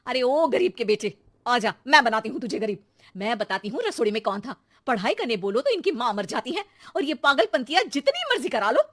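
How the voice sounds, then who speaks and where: Woman playing exasperated cartoon mother, high-pitched, very animated delivery, sarcastic, shouting, nagging. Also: fairly quick. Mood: fed up. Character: exasperated, scolding Indian mother and housewife, sarcastic. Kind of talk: animated cartoon story voice acting.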